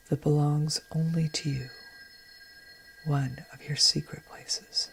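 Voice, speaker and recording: A woman leading a guided meditation in a gentle, unhurried, breathy voice that often nears a whisper. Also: deep voice, poor audio. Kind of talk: guided meditation, slow soothing narration. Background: meditation music.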